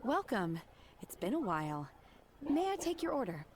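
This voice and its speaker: A woman's voice: a little high, sing-song, moderate pace, heavy background noise. A woman voicing a stoic, earnest young disciple in an anime game, polite and matter-of-fact.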